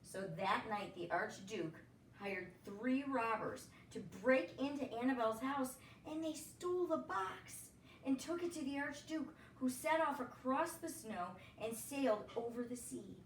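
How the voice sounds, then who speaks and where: A woman's voice: a little high, lively intonation, unhurried, echoey room, distant mic, slight background noise, rough recording. A woman reading a children's picture book aloud expressively, with chatty asides. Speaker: storybook reader, teacher. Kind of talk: read-aloud children's story narration.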